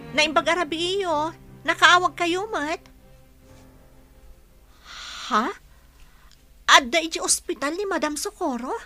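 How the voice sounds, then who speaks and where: High, bright young student teacher. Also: female voice, no room sound, exaggerated voice. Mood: alarmed. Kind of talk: Ilocano radio drama acting.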